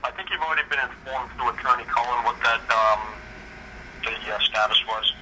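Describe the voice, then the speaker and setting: A man's voice: medium pitch, little pitch movement, brisk. A man speaking formally as an official on a telephone, guarded and hesitant in his answers. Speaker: police official returning a call. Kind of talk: formal phone conversation. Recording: noisy telephone line, heard at second hand.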